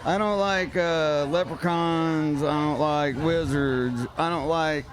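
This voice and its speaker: Blunt higher-voiced man over crowd chatter. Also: takes it slowly. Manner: blunt, crude, dismissive. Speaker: podcast panelist. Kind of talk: casual, profane banter. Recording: lively crowd noise behind.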